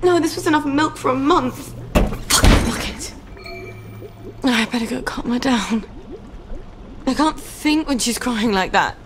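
A young British mother in a video game, her voice pitched high. She is sweetly polite and a little flustered, coaxing gently and muttering to herself. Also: expressive, measured pace, pauses often, music in the background. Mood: exasperated. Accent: British English.

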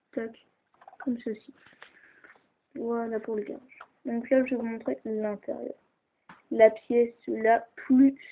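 A woman chatting casually with lots of fillers as she shows off a toy model at home, filmed on a handheld camera held close. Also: a little high. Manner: chatty, hesitant, many fillers. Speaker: toy builder showing a model. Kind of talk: casual show-and-tell narration. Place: indoors at home. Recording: tinny lo-fi camera audio, hissy.

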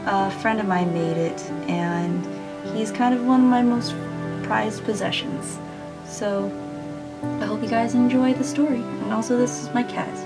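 A woman's voice: a little high, a little slow, over loud music. A woman in her twenties doing a casual children's picture-book read-aloud in a small room. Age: 20s.

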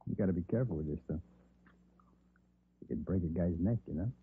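Husky-voiced tough gunman. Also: low voice, fairly quick. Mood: coaxing, menacing. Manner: slangy tough-guy talk. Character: cocky, needling, anxious male gunman held in jail. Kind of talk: 1950s radio crime drama acting. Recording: dull old radio recording.

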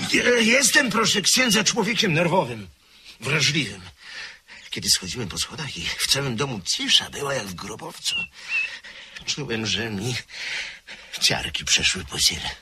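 An actor playing a nervous, short-sighted gentleman who stammers through an anxious retelling in a radio play. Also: mid-range voice, very animated, measured pace, full character voice. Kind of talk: radio drama acting. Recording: old radio play with faint hiss.

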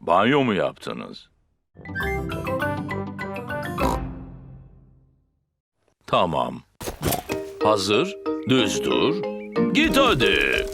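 Deep, gravelly, jolly daddy pig. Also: male voice, wildly swooping pitch, loud music behind the voice, exaggerated voice. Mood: playful. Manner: drawn-out, playful, fatherly exclamations. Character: jolly, kindly daddy pig, big and playful. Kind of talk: children's cartoon dub acting.

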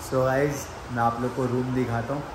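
A man's voice: mid-range voice, level tone, moderate pace. A man talking casually to viewers as a travel vlogger, mixing in English phrases. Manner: informal Hindi mixed with English phrases. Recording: noisy outdoor recording with vehicle sounds.

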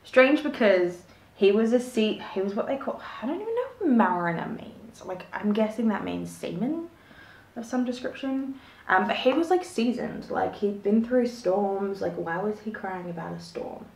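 A woman's voice: medium pitch, animated, moderate pace, vocal fry, darkish tone. A young woman telling a true crime story to the camera in a casual, rambling way, full of fillers, reading parts aloud. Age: young adult. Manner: chatty, rambling, many fillers and likes. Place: small home room with shelves of collectibles. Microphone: fixed camera at desk. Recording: echoey room.

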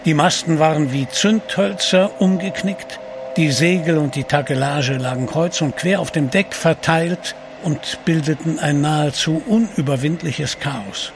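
A man narrating an adventure audio drama from a script, with a creaky voice. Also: a little high, unhurried. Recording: clear atmospheric background noise.

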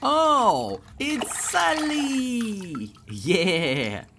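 A woman presents toys in short excited exclamations. Her pitch swoops widely and she stretches words out very slowly. Clear music plays along with her. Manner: exclamatory, short drawn-out announcements. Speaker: toy video presenter. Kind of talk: exclamatory toy showcase for children. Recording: loud music over the voice.

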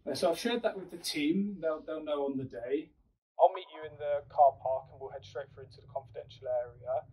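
A man's voice: fairly high pitch, fairly quick, a little mellow, natural voice. A man playing a friendly, considerate mental health clinic worker, speaking politely and reassuringly in a training film. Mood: polite, upbeat. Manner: polite, reassuring, checks back what he heard. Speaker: mental health team staff member. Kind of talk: acted training film, phone and reception talk. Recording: distant mic with faint room noise.

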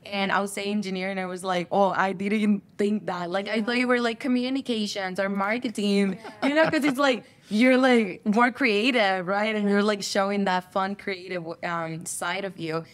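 A young woman from El Salvador, talking as a guest on a studio interview couch. Her delivery is upbeat and chatty, full of "like" and "you know". Her voice sits at an ordinary, middle pitch for a woman. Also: fairly even intonation, moderate pace, hardly any pauses. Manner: upbeat, chatty, frequent "like" fillers. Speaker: guest, chemical engineer and content creator. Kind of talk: casual interview chat. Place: TV studio set, seated on couch.

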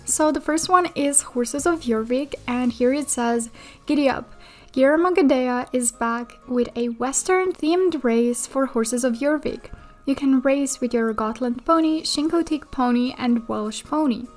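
High-voiced woman hosting a gaming update. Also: measured pace, a little mellow. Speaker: gaming video creator and host. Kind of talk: casual game update commentary, partly reading announcements aloud. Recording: voice over background music. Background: background music.

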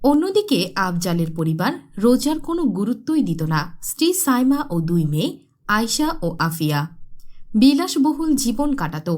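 A woman's voice: fairly high pitch, very animated, moderate pace, a little mellow. A woman reading scripted story narration for a children's cartoon.

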